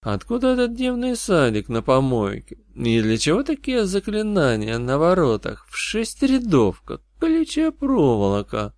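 A sardonic demoness from hell , performed by a male audiobook reader, needles with pointed questions. The voice is lifted in pitch and recorded very dry. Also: wildly swooping pitch, normal speed, hardly any pauses, darkish tone, no echo. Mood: sardonic, curious. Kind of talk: audiobook character voice.

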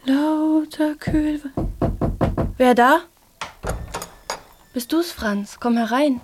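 A woman's voice: sits high, extremely slow, background noise. An actress in a radio drama playing an anxious, poor young mother with plain folksy speech that often drops to a whisper. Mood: tender, singsong, then concerned. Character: anxious poor young mother tending her little boy.

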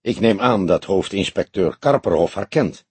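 A man voicing a calm, wry old police detective in a measured, dignified audiobook delivery. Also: sits low, husky, no echo, full character voice. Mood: matter-of-fact. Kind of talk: audiobook character dialogue.

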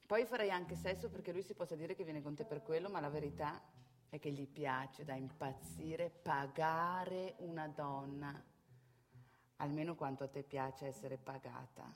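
An actress playing a brash, worldly prostitute who instructs a newcomer in a frank, knowing stage monologue. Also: female voice, low-pitched, unhurried. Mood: frank, instructive. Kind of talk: theatrical monologue.

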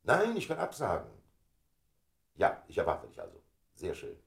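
A proud, urbane man of rank in his fifties for a radio drama. His voice is very low and moves with animated, theatrical swings. He sounds worldly, dutiful and a little worn by his years. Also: moderate pace, gravelly, exaggerated voice. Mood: soft, attentive. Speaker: actor in a radio play. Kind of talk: German radio play acting.

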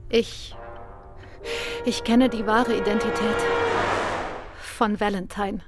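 A woman's voice: mid-range voice, fairly even intonation, slow pace, loud music behind the voice, close to an everyday voice. An actress playing an earnest young female visitor in a German radio crime drama, formal, hesitant and urgent. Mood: earnest, tense. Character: earnest, apologetic young lady informant.

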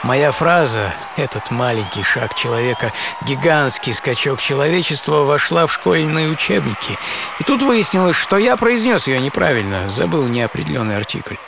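A man's voice: mid-range voice, very animated, moderate pace, gravelly, noisy background. A man performing a theatrical, intimate first-person radio monologue as a famous American astronaut looking back on his life. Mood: wondering, proud. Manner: theatrical, intimate, swinging between eager and hushed. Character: famous American astronaut recalling life from boyhood to quiet old age. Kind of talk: dramatic first-person monologue, audio play. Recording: studio voice over music bed.

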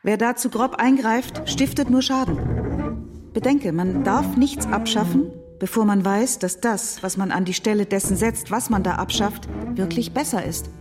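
An actress playing a cautious, genteel moderate woman in a radio drama, gently admonishing and earnest. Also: mid-range voice, normal speed, close to an everyday voice. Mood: uneasy, earnest. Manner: careful, admonishing. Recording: studio radio play with loud music.

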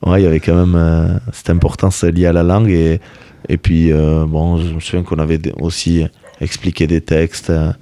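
Young male athlete, Basque native tongue, deep and mellow, thinks aloud with fillers, dry studio sound. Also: completely flat delivery, normal speed, smooth, no echo. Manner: hesitant, many fillers and restarts. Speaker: rugby player, interview guest. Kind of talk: casual radio interview.

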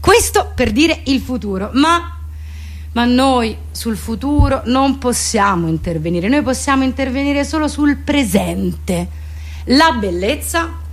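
Female comedian, highish voice, mock-didactic deadpan monologue, colloquial. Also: slightly rough. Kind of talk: comic monologue, mock explainer.

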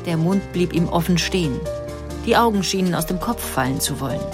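A woman reading a woman's own first-person account of a long journey aloud, in measured storytelling. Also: lowish voice, expressive, lightly acted. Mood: wistful. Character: woman recalling a long early motorcar journey. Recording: music bed behind voice.

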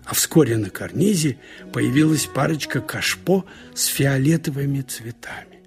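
A man narrating a short story in a conversational, wry way, with expressive asides, like a radio audiobook reader. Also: mid-range voice, normal speed, soft background music. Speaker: narrator reading literary prose.

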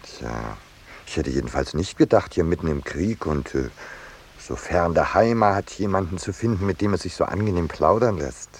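An actor playing a peace-loving landscape painter who talks politely and with dry irony, in the style of 1950s radio drama. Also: male voice, sits low, lively intonation, normal speed. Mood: courteous, flirtatious. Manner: polite, dryly ironic, hesitant in dialogue. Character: wry, peace-loving male landscape painter caught in a war. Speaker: first-person narrator and character. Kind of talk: 1950s German radio drama acting and narration. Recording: noisy 1950s radio recording.

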